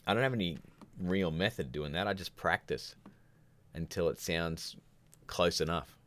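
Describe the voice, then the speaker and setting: Deep-voiced man chatting on a livestream. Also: moderate pace, a bit bright. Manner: candid, opinionated, jokey asides. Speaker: livestream host of a filmmaking show. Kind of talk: casual rambling live Q&A chat.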